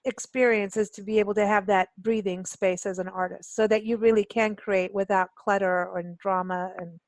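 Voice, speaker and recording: A woman's voice: medium pitch, steady tone, moderate pace, dry recording. A woman hosting a radio interview as a casual chat, informal and effusive in how she talks.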